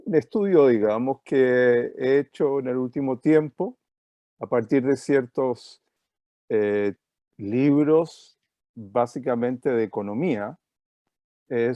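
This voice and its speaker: Slow, mellow-voiced Chilean man lecturing. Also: medium pitch, smooth, no echo, muffled recording. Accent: Chilean Spanish. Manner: hesitant, many fillers. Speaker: guest speaker. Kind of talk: online lecture with slides. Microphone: video call.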